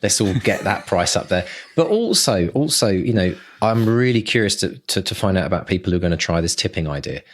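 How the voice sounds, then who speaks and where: A man's voice: low voice, lively intonation, a little fast, few pauses. A man co-hosting a podcast in a casual, effusive, opinionated way. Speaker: podcast co-host.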